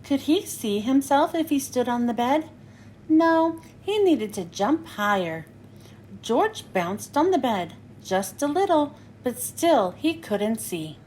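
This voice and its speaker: A woman reading a picture book aloud for children, in an expressive, sing-song storytelling manner. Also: high voice, slow pace, a little hiss or hum.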